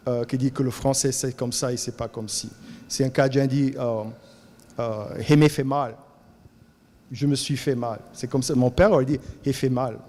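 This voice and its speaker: A man with a Cajun French accent from Louisiana gives an animated talk at a colloquium, often repeating words as he searches for the next one. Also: medium pitch, in a large hall. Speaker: colloquium speaker. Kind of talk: lively talk to an audience. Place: lecture hall.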